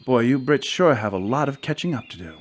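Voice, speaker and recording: An actor playing a breezy, sceptical American family man in an audiobook drama, brisk and folksy, with an American accent. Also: mid-range voice, lively intonation, lightly acted. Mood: hearty, boastful. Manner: brisk, folksy, unimpressed, hearty. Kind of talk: audiobook radio-play acting. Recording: faint music under the voice.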